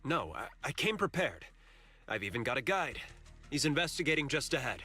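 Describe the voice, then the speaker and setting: A man's voice: medium pitch, sing-song, a little fast, bright, forceful tone, breathy edge, faint music behind, exaggerated voice. A man voicing a self-important, self-styled martial arts mystic in a video game, with pompous, theatrical delivery. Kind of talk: English video game voice acting.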